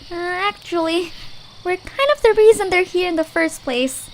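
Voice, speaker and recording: A woman's voice: extremely high pitch, moderate pace, slight background noise, rough recording. A woman voicing a plucky, exasperated young cartoon creature in an audio drama, blunt and wry. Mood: sheepish. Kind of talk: audio drama character acting.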